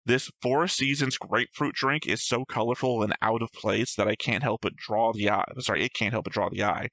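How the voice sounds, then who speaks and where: A man's voice: lowish voice, steady tone, a little fast, fairly bright tone, dry recording. A man co-hosting a reaction show, talking through his notes with a dry, analytical manner. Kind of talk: casual episode discussion reading own notes.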